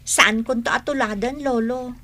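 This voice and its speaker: A woman acts an eager young Filipino student in a radio drama. The voice is high-pitched and questioning, like a grandchild talking with elders. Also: fairly even intonation, normal speed, pushed and bright.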